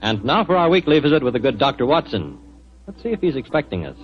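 A man's voice: mid-range voice, sing-song, fast talker, loud music behind the voice. A man working as a radio announcer and host in a scripted 1940s broadcast style. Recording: very noisy, muffled 1940s radio recording.

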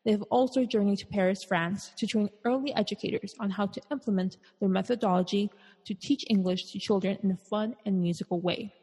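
A woman hosting a podcast, reading out a long guest introduction at an even pace and dropping in Spanish words. Her voice is breathy. Long reverb trails after her words. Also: mid-range voice, a little fast. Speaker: podcast host. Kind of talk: scripted intro and interview.

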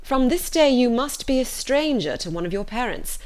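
A woman voicing a dry, unruffled older gentleman father in an audiobook, measured and mock-solemn. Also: fairly high pitch, animated, pitched above the performer's own voice, natural voice. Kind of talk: audiobook character voice.

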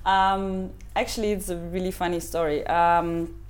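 Podcast guest, woman in her thirties, second-language English, somewhat low voice, hesitant. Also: fairly even intonation, a little slow. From Ukraine, raised in Germany. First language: not English. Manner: hesitant, searching for English words, with many fillers. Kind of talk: casual interview chat.

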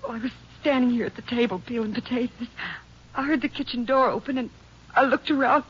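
A woman acting a shaken young schoolteacher in a 1950s radio drama, halting and distressed. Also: fairly high pitch, steady tone, slight background noise, lightly acted. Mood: horrified, shaken. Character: shaken young female schoolteacher, frightened attack victim. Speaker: radio actor. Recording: muffled old 1950s radio recording.

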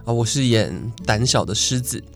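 Halting young man, Taiwanese Mandarin accent. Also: medium pitch, fairly bright tone, soft background music. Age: young adult. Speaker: guest, theatre performer. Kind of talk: casual interview chat. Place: radio studio.

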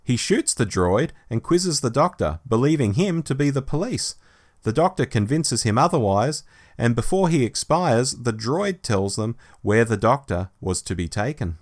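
Male podcast presenter for scripted story recaps. Expressive, wide-ranging delivery at a middling pitch. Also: moderate pace. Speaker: podcast host. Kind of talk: scripted plot summary narration.

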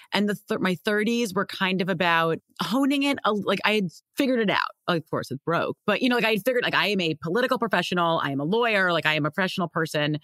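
Low-voiced woman of forty. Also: a bit bright, no room sound, clean studio sound. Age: 40s. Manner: candid, musing, lots of "like". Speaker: host. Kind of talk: casual interview conversation.

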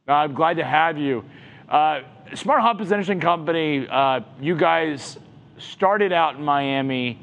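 A man's voice: fairly high pitch, measured pace, vocal fry, fairly bright tone, dull audio. A man hosting a conversational interview in an informal, familiar way. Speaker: show host and interviewer.

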